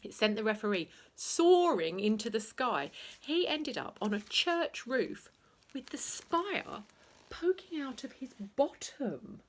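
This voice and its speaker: A woman narrating a children's bedtime story in an expressive, theatrical way, playing up the sound words. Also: on the high side, takes it slowly, a little hiss or hum.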